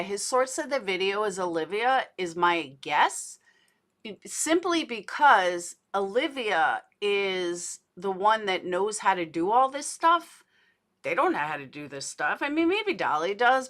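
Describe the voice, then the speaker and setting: A woman's voice: medium pitch, fairly bright tone. A woman giving casual livestream commentary in a blunt, indignant, sweary manner, as host of a true crime show.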